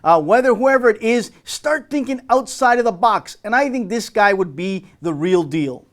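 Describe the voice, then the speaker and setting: Middle-aged guy hosting soccer radio, pretty high voice, effusive and emphatic. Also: expressive, moderate pace. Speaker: soccer talk show host.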